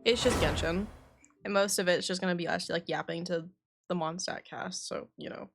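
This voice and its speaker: A woman's voice: fairly low pitch, fairly even intonation, moderate pace, gravelly, soft background music. A woman streaming a game, chatting casually in a rambling way and swearing now and then. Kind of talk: casual livestream chat.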